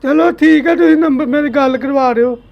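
High-pitched Punjabi man, insistent prank caller. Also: fairly quick, creaky. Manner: pleading, insistent. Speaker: prank caller posing as a vegetable seller. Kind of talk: prank phone call. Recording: phone call with faint noise.

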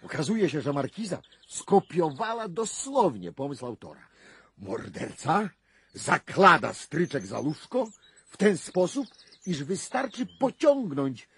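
Wry, assured male investigator for radio drama: deliberate, slow, melodically varied delivery. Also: a little high, big pitch swings, slightly rough, dry recording, exaggerated voice. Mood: explaining. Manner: theatrical, deliberate phrasing with dramatic pauses. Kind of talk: 1990s Polish radio drama acting.

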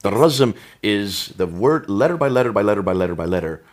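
Older American man, very low husky voice, steering the talk with leading questions in a book-lined study. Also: lively intonation, brisk. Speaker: co-host. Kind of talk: conversational discussion. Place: indoor study with bookshelves.

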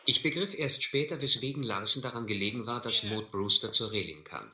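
An actor playing a reflective, educated seaman who narrates his voyage in a measured, literate way in a radio play. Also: medium pitch, bright, forceful tone. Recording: echoey old recording with faint noise.

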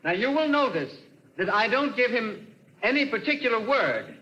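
An actor playing a polite, flustered inventor in an old radio drama, formal and a little hesitant. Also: male voice, very high-pitched, very animated, moderate pace, bright, forceful tone, muffled recording, heavily acted. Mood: formal, proud. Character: eager, flustered, polite male inventor showing off his robot. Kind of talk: 1940s radio acting.

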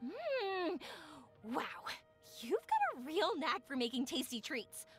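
Cheerful young game girl who makes fireworks, really high voice that bounces all over, chatty and sweet. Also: slow, faint music behind, full character voice. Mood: bubbly, chatty. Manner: bubbly, expressive, chatty. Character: cheerful, energetic young woman fireworks maker, playful and sentimental. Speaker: video game character. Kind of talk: scripted video game character voice lines.

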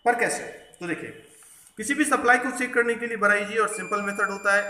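Male repair instructor, bright forceful tone, explanatory tutorial delivery, echoey workbench recording. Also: high-pitched. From India. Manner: explanatory, mixes in English technical terms. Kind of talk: hands-on tutorial narration. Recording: echoey room, faint background noise.

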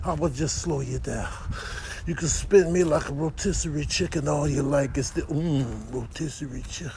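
A man's voice: a little high, normal speed, darkish tone, natural voice. A man voicing a lazy, put-upon animal with dry complaints and flowery slang in a comic voice-over. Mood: weary complaining. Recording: noisy compressed phone-clip audio.

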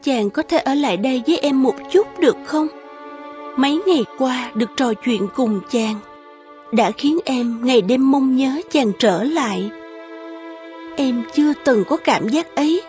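A woman voicing a gentle young woman in love in an animated folktale, deferential and yearning. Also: sits high, unhurried, faint music behind. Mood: pleading.

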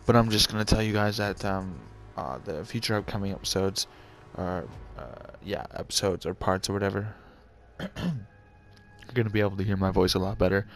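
Deep quiet-talking young man, gravelly. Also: steady tone, normal speed, faint music behind. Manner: hesitant, many ums and uhs, laughs at himself. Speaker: gaming channel host. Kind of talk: casual talk to viewers.

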